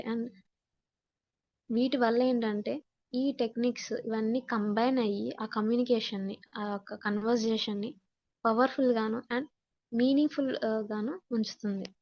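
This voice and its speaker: Female teacher, Telugu accent, fairly high pitch, explanatory lecture delivery mixing in English, dull low-fidelity class recording. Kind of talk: online class lecture, reading points in English then explaining. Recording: dull, muffled lo-fi audio.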